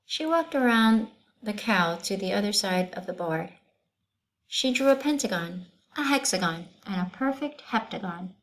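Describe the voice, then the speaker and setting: A woman storyteller with a medium-pitched voice reads a children's book aloud. She is expressive and breaks off for asides and questions to her listeners. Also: normal speed, poor audio.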